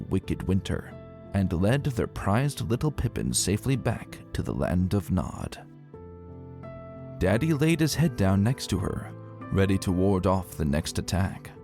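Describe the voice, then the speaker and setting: A man giving a dramatic, theatrical reading of a scripted story as narrator. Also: low-pitched. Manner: expressive, theatrical delivery. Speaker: narrator reading fan fiction aloud. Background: music.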